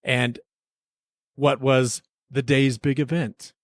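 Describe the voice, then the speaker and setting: A man with a mid-pitched voice hosts an improvised comedy mock hearing. He is teasing and deadpan, and the recording is dry with very little room sound. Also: slow pace, no room sound. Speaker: podcast host.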